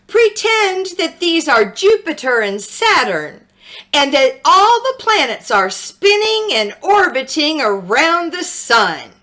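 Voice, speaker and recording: A strict female first-grade teacher from a picture book, acted out by a woman reader. Her voice is bright and fairly high, and she instructs firmly, very slowly and deliberately. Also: very animated, heavily acted. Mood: instructive.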